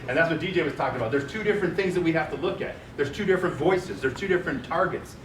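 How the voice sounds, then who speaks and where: A man with a loud voice giving a chatty panel talk and putting questions to the audience. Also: fairly high pitch, rapid-fire. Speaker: panel speaker. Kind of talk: conference presentation. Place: large office meeting room. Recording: echoey room, distant mic, noisy.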